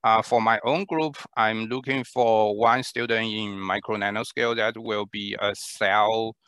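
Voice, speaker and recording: Man on a headset, halting and dry. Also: lowish voice, fairly even intonation, a bit bright, no echo. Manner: halting, many fillers and self-corrections. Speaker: professor presenting his lab. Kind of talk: online lab presentation with Q&A. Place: small room at home, indoors. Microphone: headset mic.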